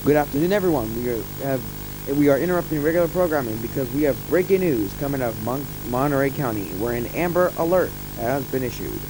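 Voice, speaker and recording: A man playing a flustered but formal local TV news anchor, delivering a breaking report in a halting, stumbling way. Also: mid-range voice, lively intonation, natural voice. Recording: noisy, humming engine-like background.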